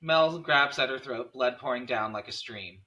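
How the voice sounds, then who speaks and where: Male narrator for a script table read, stage directions in a bright, forceful mid-range voice. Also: mid-range voice, normal speed.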